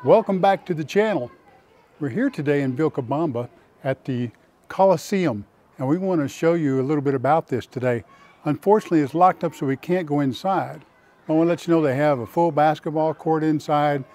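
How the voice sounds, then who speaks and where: Mellow-voiced man giving an outdoor tour. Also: fairly high pitch, a little fast. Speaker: vlog host and tour guide. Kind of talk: casual walking tour narration to camera. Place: outdoors in a park. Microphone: lapel mic.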